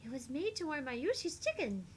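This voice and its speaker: A very high, soft girl's voice acted by a woman, innocent and childlike. It swings up and down with airy, hesitant curiosity, as in a visual novel, over a faint bed of noise. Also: sing-song, moderate pace. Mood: gently chiding. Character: sweet, childlike, naive young girl.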